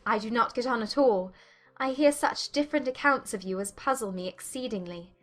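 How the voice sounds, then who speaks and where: A woman playing a witty, spirited young Regency gentlewoman with an arch, teasing manner in a dramatized audiobook. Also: on the high side, fairly quick, faint music behind, close to an everyday voice. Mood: probing, earnest.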